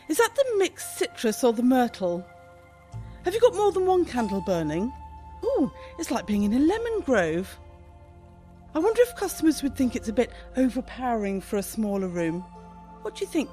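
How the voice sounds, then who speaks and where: Female, British, bossy excitable shop owner, radio comedy; high, swooping, brisk delivery. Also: faint music behind. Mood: brisk, chirpy. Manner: brisk, chatty, theatrical. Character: bossy, fussy, easily panicked woman shop owner. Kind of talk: radio play comedy acting.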